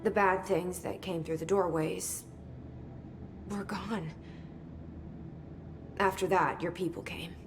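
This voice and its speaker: A terse, determined young heroine. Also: female voice, on the low side, fairly even intonation, slow pace, pauses often, music in the background. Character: determined young woman searching for her brother. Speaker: game protagonist. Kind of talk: video game dialogue and inner monologue.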